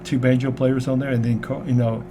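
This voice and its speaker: An older man from Memphis with a strong Southern Tennessee accent talks eagerly and warmly, often doubling back on his words. His voice sits mid-range. The recording has clear background noise. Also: medium pitch, level tone, fairly quick. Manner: warm, enthusiastic, repeats words. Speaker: guest musician. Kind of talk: casual interview chat. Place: local radio studio.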